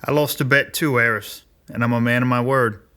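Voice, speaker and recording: Bright-voiced, gruff army sergeant played by a man. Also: medium pitch, fairly even intonation, fairly quick, lightly acted. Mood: plain-spoken. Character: gruff, plain-spoken honourable army sergeant. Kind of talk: audio drama acting.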